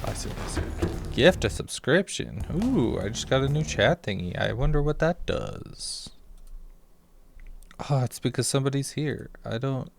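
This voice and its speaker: A man's voice: medium pitch, animated, a little slow, creaky. A man with a slightly scratchy voice giving casual gameplay commentary, rambling and muttering to himself as he thinks aloud. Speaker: small gaming streamer. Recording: music behind the voice. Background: game music.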